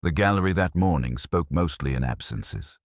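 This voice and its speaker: A man narrating a scripted first-person detective mystery as a reflective, world-weary inspector, with a measured, brooding literary delivery. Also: very deep voice, lively intonation, dry recording, studio quality.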